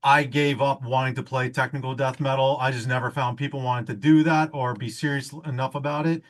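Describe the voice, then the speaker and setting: A man in his late thirties talks in a slow, self-deprecating way. His voice sits in a middle range, and his tone is easygoing. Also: mid-range voice, steady tone. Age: 30s. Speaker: band member. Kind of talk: casual interview chat.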